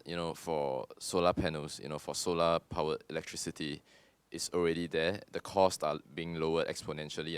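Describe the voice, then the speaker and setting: A young Singaporean man with a very deep voice explains points on a panel in a steady, measured way. His tone is smooth and resonant, and the recording is dry and close. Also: dry recording. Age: 20s. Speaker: panel speaker. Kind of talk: panel Q&A answers.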